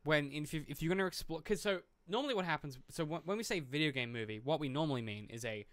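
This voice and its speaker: A man with a fairly high voice talks casually about film as a podcast co-host. He explains his reading informally and swears now and then, in a dry, close sound with little room around it. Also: quick pace, a bit bright, no room sound.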